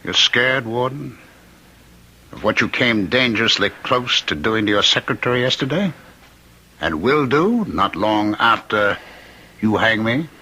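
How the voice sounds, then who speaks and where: An actor playing a menacing condemned killer in a radio drama, with a rough, taunting, theatrical delivery. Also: male voice, lowish voice, expressive, measured pace, bright, forceful tone, full character voice, rough recording. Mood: taunting, gloating. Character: menacing condemned killer claiming to be Jack the Ripper. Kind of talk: old-time radio drama acting.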